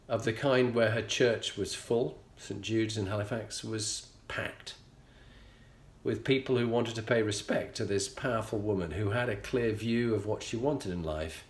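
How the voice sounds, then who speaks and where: Earnest Yorkshire man in an echoey room. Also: fairly low pitch. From England. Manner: earnest, measured, pausing often. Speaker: leadership coach and show host. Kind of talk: reflective personal storytelling.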